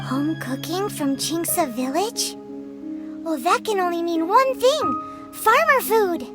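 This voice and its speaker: A woman's voice: lively intonation, slow, heavily acted. A woman voicing a small, childlike fairy companion in an anime video game, perky, inquisitive and eager. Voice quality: squeaky, childlike. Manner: perky, inquisitive, sing-song. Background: game music.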